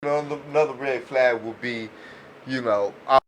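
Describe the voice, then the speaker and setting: A man co-hosting a casual podcast chat, speaking in a halting, repetitive, slangy way. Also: medium pitch, a crowd in the background.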